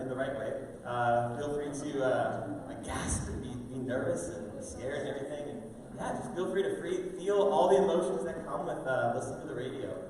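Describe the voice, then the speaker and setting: A man hosting a live show, giving an informal, halting welcome speech to an audience with drawn-out pauses. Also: mid-range voice. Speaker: host, school fine arts director introducing a show. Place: large indoor room with stage and audience tables. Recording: very echoey hall, distant mic, faint noise.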